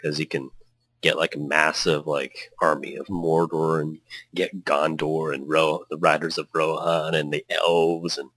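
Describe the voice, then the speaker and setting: A man with a notably low voice works as a let's player, moving between story narration and loose, casual commentary. He tends to ramble. The sound is dry and close, with very little room in it. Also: flat delivery, measured pace, fairly bright tone, no echo, poor audio. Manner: hyped, tangent-prone. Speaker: let's player reading a visual novel aloud.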